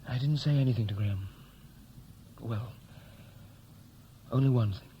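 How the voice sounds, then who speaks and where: An actor playing a defiant, independent adult son, wounded, in a 1960s radio play. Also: male voice, mid-range voice, measured pace, dark tone, dull audio, close to an everyday voice. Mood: wistful, bitter. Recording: hissy 1960s radio recording.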